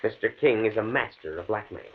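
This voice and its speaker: A thin, narrow-band vintage radio recording carries an embattled American professor. His voice is low and unclear, his delivery grave and deliberate. He sounds fatalistic, brooding and set on revenge. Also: expressive, vocal fry, breathy edge, heavily acted. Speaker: actor. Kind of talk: old-time American radio drama acting.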